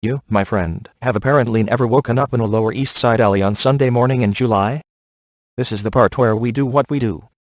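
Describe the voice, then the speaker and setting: A man's voice: medium pitch, fairly quick, dry recording, lightly acted. A man voicing a cocky, wisecracking, flirtatious middle-aged ghost hunter in a video game, with dry, sarcastic delivery. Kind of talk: video game voice acting, one-liners.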